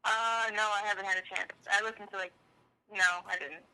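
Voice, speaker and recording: A woman's voice: mid-range voice, steady tone, a little fast, bright, forceful tone. A woman co-hosting a podcast over a phone call, chatting casually, her voice distant and thin. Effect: phone line. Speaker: podcast co-host calling in. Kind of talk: casual chat.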